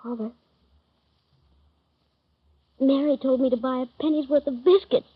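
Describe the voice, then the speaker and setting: A woman's voice: high voice, steady tone, measured pace, slightly rough, no echo. A woman playing a small shy boy in a 1930s radio drama, answering in hesitant little replies. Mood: timid. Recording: dull old 1930s radio recording.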